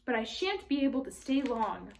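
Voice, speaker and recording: A woman's voice: a little high, sing-song, moderate pace, gravelly. A woman voicing an innocent young girl in a storybook, polite, earnest and a little puzzled. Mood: polite, hesitant. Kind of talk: storybook character read aloud.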